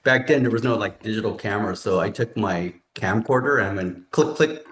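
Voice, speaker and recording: A man's voice: fairly low pitch, a little fast, fairly bright tone, a little hiss or hum. A man in his forties from California, a podcast guest chatting casually, rambling and correcting himself with many fillers. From California, United States. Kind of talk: casual interview chat. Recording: roomy echo.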